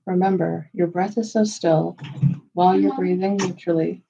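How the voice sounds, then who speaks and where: Woman meditation guide, lowish and mellow, unhurried phrasing, slightly muffled recording. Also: level tone, vocal fry, breathy edge. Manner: unhurried, measured phrasing with pauses. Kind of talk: slow, soothing guided meditation.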